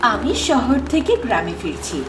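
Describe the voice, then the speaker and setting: Woman acting an animated young student, distant and echoey. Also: on the high side, measured pace, noisy background. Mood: polite, friendly. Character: gentle beautiful young female medical student. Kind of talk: horror cartoon dialogue. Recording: echoey, distant, loud music behind.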